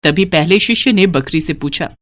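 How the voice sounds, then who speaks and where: A woman's voice: lowish voice, moderate pace, a bit bright. A woman storyteller reading scripted narration for a tale.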